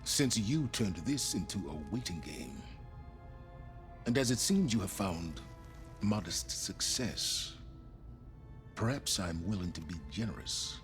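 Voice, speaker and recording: An actor playing a cold, calculating schemer in a video game, speaking in measured, formal, condescending phrases. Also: male voice, sits low, lively intonation. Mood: smug. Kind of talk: video game dialogue acting. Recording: music behind the voice.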